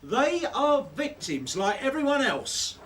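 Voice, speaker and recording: A man playing a world-weary, hard-nosed detective inspector, gruff and sardonic, in a TV police drama. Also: extremely high pitch, big pitch swings, slow, fairly bright tone, exaggerated voice. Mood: exasperated. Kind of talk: naturalistic TV police drama acting. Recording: slightly distant, noisy TV drama sound.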